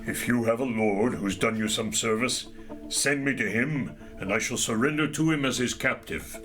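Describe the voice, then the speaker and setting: Bright-voiced haughty enemy knight played by a man. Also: mid-range voice, normal speed, faint music behind, natural voice. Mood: pleading. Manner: archaic, formal, declaiming diction. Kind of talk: audio drama acting of medieval romance.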